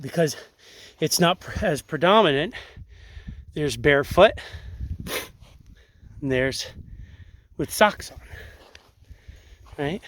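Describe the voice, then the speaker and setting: A young man with a slightly raspy, congested voice, casually explaining and demonstrating outdoors on a sandy riverbank, rambling with lots of fillers. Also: a little high, a bit bright. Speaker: tracking instructor.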